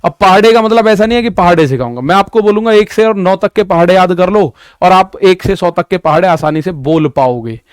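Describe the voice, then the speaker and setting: Young male maths tutor, high voice, dry close sound, repeats key points, talks straight to students. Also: a little fast, few pauses, smooth, no echo. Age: 20s. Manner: repetitive, addresses students directly with "ठीक है" and "भाई"; explains with everyday examples. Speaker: maths teacher. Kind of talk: exam-prep maths lesson.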